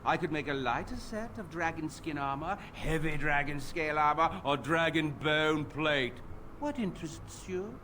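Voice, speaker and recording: A theatrical fantasy-game armorer, high in pitch and swooping freely. He is a fussy, haughty perfectionist who dramatizes everything. Faint noise sits behind the voice. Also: animated, moderate pace, bright, forceful tone. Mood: excited, proud. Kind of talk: fantasy video game voice acting.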